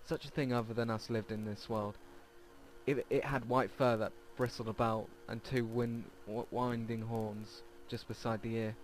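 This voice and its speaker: A man's voice: medium pitch, little pitch movement, normal speed, low-quality recording. A man hosting a let's play, reading visual novel text aloud and stumbling over words now and then. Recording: game music behind the voice. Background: game music.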